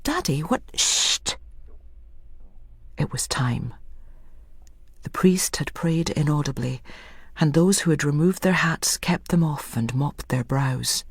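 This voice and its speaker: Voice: mid-range voice, measured pace, creaky, husky, no echo. A storyteller reading a scripted dramatic story as narration.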